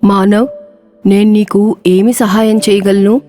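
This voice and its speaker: A woman voicing a calm, helpful talking magic pen in a cartoon storybook. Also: mid-range voice, a little slow, faint music behind, voice pushed down, natural voice.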